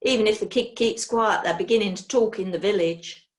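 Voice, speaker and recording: A woman's voice: medium pitch, a little fast, lightly acted. A nervous young farmhand pleads and worries in a slightly raised storybook voice, as a woman reads him. He sounds uneasy and timid in front of his boss. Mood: worried. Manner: anxious, pleading. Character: nervous male farm assistant, worried.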